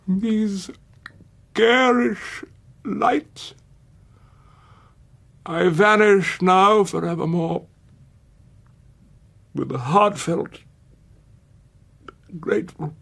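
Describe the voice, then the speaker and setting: A man playing an ailing, dignified Victorian author giving a solemn, measured farewell address in a theatrical style. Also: high voice, vocal fry, fairly bright tone, pitched above the performer's own voice, full character voice. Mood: solemn, heartfelt. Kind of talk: theatrical dramatised speech.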